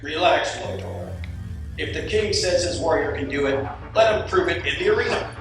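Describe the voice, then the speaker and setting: A man playing a calculating, commanding alien tyrant king in a cartoon radio play, speaking in a measured, imperious way. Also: on the high side, natural voice. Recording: echoey live hall, far from mic.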